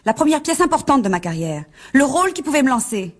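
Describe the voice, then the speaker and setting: Husky, expressive young actress. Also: female voice, high voice, fast. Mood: angry, reproachful. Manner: emotional, expressive. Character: hopeful, spirited young actress, easily moved, quick to reproach. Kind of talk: French radio drama acting.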